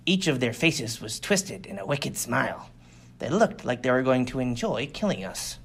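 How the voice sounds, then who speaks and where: A man narrates an adventure audiobook in a medium-pitched voice. His delivery is scripted and dramatic, telling the story as a first-person account. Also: very animated, moderate pace. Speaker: audiobook reader.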